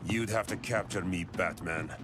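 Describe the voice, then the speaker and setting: Guy doing a menacing proud antihero in a game, low n formal, loud music under it. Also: moderate pace, natural voice. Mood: menacing, haughty. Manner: haughty, formal, ominous. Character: imperious, menacing ancient-powered antihero, adult man. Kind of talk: dramatic video game acting.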